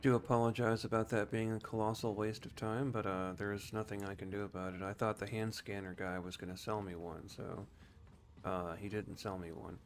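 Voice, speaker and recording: A low-pitched man commentates on his game in a casual, rambling style. He explains things without hurry, as a streamer would, with faint noise in the background. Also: little pitch movement, moderate pace.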